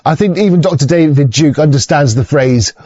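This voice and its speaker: A man's voice: a little high, a little fast, dark tone, breathy edge, no room sound. A man hosting a livestream in a casual, rambling monologue, swearing constantly and restarting his sentences. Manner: heavy swearing, frequent stammered restarts. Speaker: livestream host. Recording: slightly muffled dry mic.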